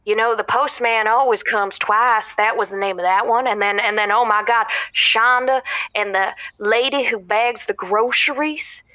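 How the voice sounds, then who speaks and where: Bright, high-voiced chatty housewife. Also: female voice, normal speed, no echo. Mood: excited, proud. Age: middle-aged. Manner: rambling, earnest, excitable. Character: chatty middle-aged Southern housewife caller, proud and giddy. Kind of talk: audio drama acting as a radio call-in.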